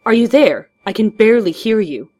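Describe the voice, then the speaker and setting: A woman voicing a gruff, exhausted male vigilante in a narrated story, terse, urgent and commanding. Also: fairly low pitch, normal speed, noisy background, natural voice.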